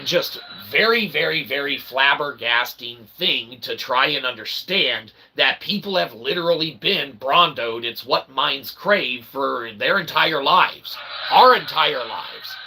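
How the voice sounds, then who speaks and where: A man's voice: medium pitch, animated, unhurried, few pauses, noisy background. A man giving a rambling comedic monologue as a vlogger, with convoluted, mock-intellectual phrasing and frequent tags like okay and eh. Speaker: vlogger, self-published author.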